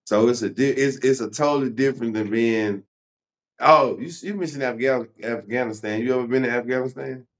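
A man's voice: mid-range voice, a little fast. A man interviewing in a casual, slangy way, often repeating his phrases. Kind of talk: casual chat.

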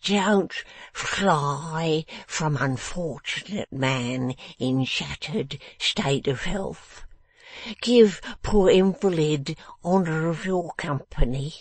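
A woman voicing a maudlin, timid drunken father in a storybook, speaking thickly and haltingly in a submissive whine. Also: low voice, dry recording, full character voice. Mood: submissive pleading. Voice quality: thick slurred speech, husky.

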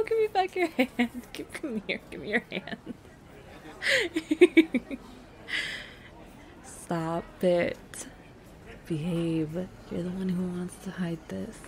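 Woman acting a slow-talking, playful affectionate girlfriend. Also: on the high side, a little hiss or hum, full character voice. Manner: teasing, giggly, hesitant with stammered restarts. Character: playful, affectionate young secret girlfriend on a cafe date. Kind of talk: intimate girlfriend roleplay audio, acted as casual chat.

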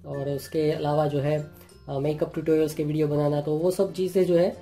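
Guy doing a product unboxing, flat even voice, keeps saying "jo hai", music under it. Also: a little high, fairly quick. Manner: plain explanatory talk with many "jo hai" fillers. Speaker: channel host reviewing products. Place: indoors at a table. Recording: clear music playing underneath.

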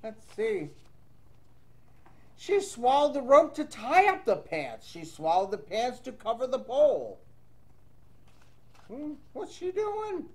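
A man reads a picture book aloud for children at an unhurried pace. His pitch moves up and down freely in a playful sing-song. Between lines he chats casually with his young listeners. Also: huge pitch swings. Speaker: children's storybook reader. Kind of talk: animated read-aloud to children with casual asides.

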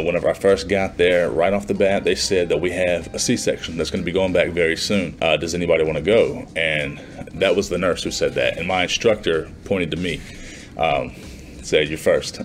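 A man in his twenties talking informally and conversationally to camera, like a student vlogger in a small room. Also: low-pitched. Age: 20s. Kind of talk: casual vlog talk to camera. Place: small plain indoor room.

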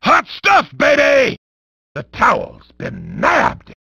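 Hammy gravelly game announcer, high-pitched for a man, swoops all over the place, loves the carnage. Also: huge pitch swings, takes it slowly, sharp tone, dry recording, shouting, muffled recording, full character voice. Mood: excited, bellowing. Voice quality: gravelly, raspy. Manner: hammy, wisecracking, often bellowing. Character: bombastic, gleefully violent male arena combat sports announcer. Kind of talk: over-the-top sports commentary for a video game.

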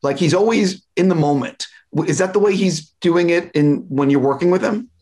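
A man's voice: sits high, normal speed, darkish tone. A man in his forties conducting a casual interview over a video call from a room at home. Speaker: entertainment interviewer. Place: small room at home, indoors.

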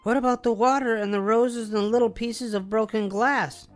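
A man's voice: high-pitched, steady tone, moderate pace, soft background music, voice pushed up, natural voice. A man voicing a squabbling young girl bear cub in a storybook reading, whiny and protesting.

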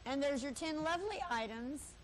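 A chatty woman in her thirties runs a live local auction show, walking a handheld camera through a cluttered stockroom. Her voice sits high and she tosses off teasing asides to the crew between items. A faint hiss hangs under the old tape recording. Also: animated, moderate pace. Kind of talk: live cable TV sales patter.

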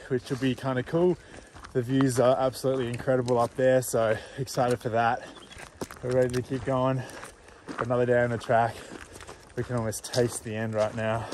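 A man's voice: mid-range voice, a little mellow, slight background noise. A young Australian man vlogging casually to camera while out on a bush hiking trail, upbeat and chatty. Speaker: hiker vlogger. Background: music.

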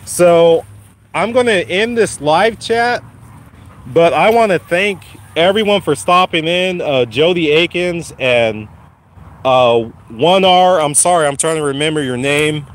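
A man hosting his first live stream from a phone while walking a dog outside, his voice slightly raspy from a cold, casually encouraging viewers. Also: a little high, a little slow. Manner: encouraging, repetitive, addresses viewers by name. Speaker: channel host. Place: outdoors on a lawn walking a dog. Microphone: phone held while walking.

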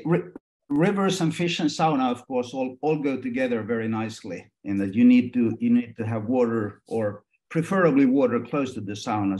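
A middle-aged man from Finland, a foundation trustee, hosting a webinar on a video call in a measured way, with many pauses. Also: on the low side, a little mellow. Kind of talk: presenting and interviewing on a video call.

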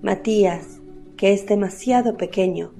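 Faint music runs under a woman narrator. Her voice is mellow and mid-pitched as she reads scripted, literary radio-play narration. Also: measured pace, creaky.

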